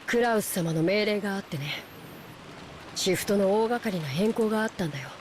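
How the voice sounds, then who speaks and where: A cool, cynical young servant boy voiced by an actress in an anime visual novel. The speech is quick yet reserved and matter-of-fact. Clear rain sounds surround the voice. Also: mid-range voice, lively intonation, a little mellow, lightly acted. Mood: cool, resigned. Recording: clear rain noise over the voice.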